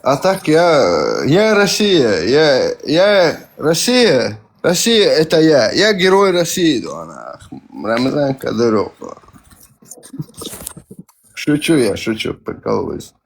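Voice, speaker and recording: This is a man with a Caucasian accent calling in to a live voice chat. He rambles through loose, hesitant speech full of fillers and self-corrections. His mid-pitched voice has a gravelly edge. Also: very animated, slow pace. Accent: Caucasian-accented Russian. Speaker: caller on a live stream. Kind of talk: casual chat.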